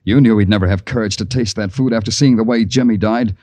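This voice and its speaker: A weary American police detective, played by a man in a vintage radio crime drama. His voice is low and close in a dry room. He talks slowly and deliberately, brooding and insistent in classic noir fashion. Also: darkish tone, no room sound, natural voice. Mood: accusing. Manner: slow, deliberate, hard-boiled noir delivery. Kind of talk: 1940s-50s radio crime drama acting. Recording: old radio drama recording.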